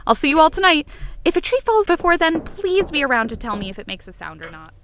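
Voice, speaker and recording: A woman's voice: high voice, big pitch swings, fast, background noise. A woman playing an exuberant, bossy, playful friend with a theatrical, wordy manner in a fiction podcast. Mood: excited, bossy.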